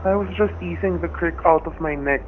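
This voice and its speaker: A tense, plain-spoken husband played by a male actor tells a radio thriller in the first person. His voice sits a little high. The recording is narrow and tinny, with faint noise. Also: brisk, lightly acted. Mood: guarded. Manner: colloquial, vivid storytelling. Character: tense, folksy husband narrating his own hostage ordeal.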